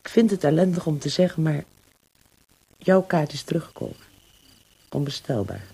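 Deep, husky, grave camp leader. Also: female voice, big pitch swings, moderate pace, dark tone, full character voice. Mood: regretful. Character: kindly, grave older female camp leader. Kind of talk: naturalistic radio drama acting.

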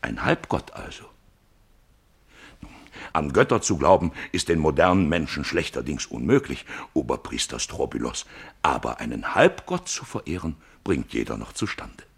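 Casting: a self-righteous arch-priest for radio drama, low voice, oratorical, swooping emphatically. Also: male voice, sing-song, normal speed, gravelly, slightly rough, full character voice. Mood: fervent indignation. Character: grandiloquent male arch-priest, fervent, combative and self-righteous. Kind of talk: German radio play acting.

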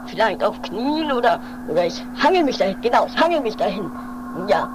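An amateur radio-play performer voicing an anxious, self-reproaching mountaineer who mutters haltingly and repeats words. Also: mid-range voice, big pitch swings, close to an everyday voice. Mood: strained, urgent. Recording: noisy homemade 1980s radio play recording.

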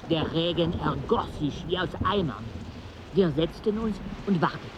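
A male actor as a travelling storyteller in a radio drama, with a slightly high voice. He recounts an expedition as narrator while loud storm and water effects surround him. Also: animated, moderate pace, background noise, lightly acted. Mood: grave, narrative.

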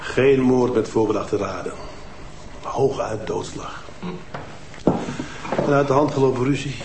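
An actor playing a calm, matter-of-fact police detective. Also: male voice, mid-range voice, a little slow, roomy sound, very noisy, lightly acted. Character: dry, calm middle-aged police detective. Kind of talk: TV crime drama acting.

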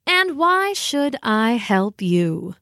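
A woman voicing a tatty, aloof female cat in a storybook, purring slowly and warily. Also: fairly high pitch, big pitch swings, pushed and bright, no room sound, clean studio sound, full character voice. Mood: scornful. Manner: slow, purring, wary. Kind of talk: storybook character voice.

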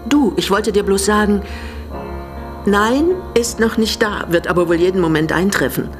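A woman's voice: medium pitch, normal speed, over music, lightly acted. An actress playing a resolute, bitter older woman in a German radio drama, speaking in a composed, pointed way. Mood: nervous, determined. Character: resolute, bitter older widow receiving guests.

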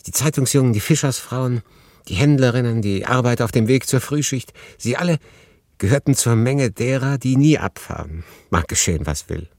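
An actor playing a melancholy, resigned refugee man in a literary radio play, speaking in a husky voice with sombre, measured phrasing and deliberate pauses. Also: mid-range voice, expressive, a little mellow, no echo, studio quality. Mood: reflective. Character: melancholy male refugee, resigned, recounting his story. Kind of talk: literary radio play, first-person narration and dialogue.

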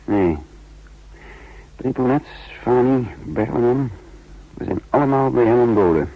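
An actor playing a shrewd, sardonic counter-espionage chief in a 1960s radio drama, musing dryly with thoughtful hums. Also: male voice, medium pitch, expressive, unhurried. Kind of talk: 1960s Dutch radio drama acting with narration. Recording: dull, noisy 1960s radio recording.

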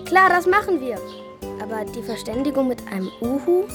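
An actress playing a bold, practical young child in a children's radio play, with a direct, questioning manner. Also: female voice, sits high, lively intonation, normal speed, music in the background. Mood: curious, bold.

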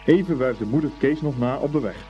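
A man narrating a scripted story for a Dutch radio play in an expressive, unhurried storytelling manner. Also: mid-range voice. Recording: dull old radio recording, faint music.

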